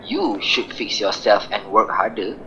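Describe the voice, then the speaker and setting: A man's voice: lowish voice, sing-song, measured pace. Swooping, candid male best friend, acted by a man. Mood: frank advice. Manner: candid, informal. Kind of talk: amateur radio drama acting. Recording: dull, slightly noisy recording.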